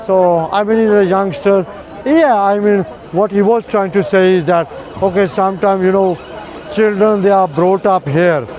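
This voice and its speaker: A man's voice: sits high, normal speed, muffled recording, a faint crowd. An older man talking earnestly with a passer-by on a city street, explaining his views with many fillers. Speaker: street preacher. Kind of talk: street conversation. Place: busy city street outdoors.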